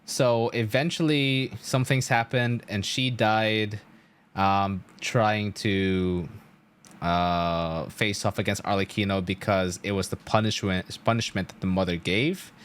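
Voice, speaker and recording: Low-voiced young man rambling on stream. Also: steady tone, takes it slowly, clear, resonant tone. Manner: rambling, casual swearing, emphatic storytelling. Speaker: game streamer. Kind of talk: casual live-stream chat, explaining game story.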